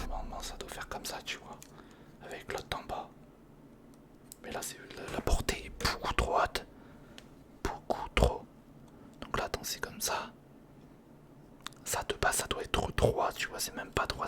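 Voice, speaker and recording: A breathy, unvoiced whisper carries this ASMR commentary. The speaker mutters casually to themselves while working with their hands, repeating short phrases in informal slang, close to the mic. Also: unhurried, pauses often. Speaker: ASMR video creator. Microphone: close-up studio condenser or handheld recorder.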